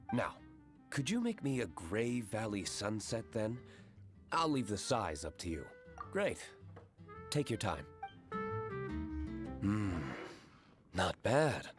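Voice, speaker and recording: A man voicing a courteous, reserved young gentleman tavern owner in an anime-style game, measured and polite. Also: on the low side, sing-song, over music. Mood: calm, reflective.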